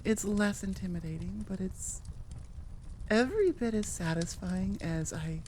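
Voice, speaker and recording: A woman's voice: medium pitch, a little mellow, slight background noise. A woman chatting casually and unscripted to her listeners as an audio creator, rambling, candid and a little self-deprecating. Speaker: YouTube audio roleplay creator.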